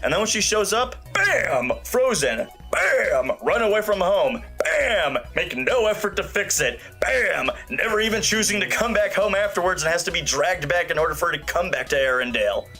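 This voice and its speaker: Higher-pitched man reviewing over music. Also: very animated, moderate pace, hardly any pauses, bright, forceful tone. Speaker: video reviewer and host. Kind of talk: opinionated scripted review narration. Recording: music playing behind the voice. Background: background music.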